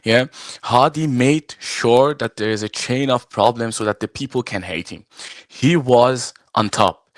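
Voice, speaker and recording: A man from Iran whose first language is Farsi, talking casually and haltingly on a video call, full of fillers and restarts. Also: medium pitch, no room sound, clean studio sound. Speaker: guest, arm wrestler and referee. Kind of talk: casual live-stream chat. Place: at home, arm wrestling table behind.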